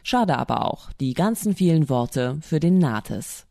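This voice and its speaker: A mellow voice of medium pitch reads written comments aloud for a podcast. The tone is dark and relaxed, and the sound is very dry, with no room around it. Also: a little slow, vocal fry, no echo. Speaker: reader of quoted comments. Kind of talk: reading out written reader comments.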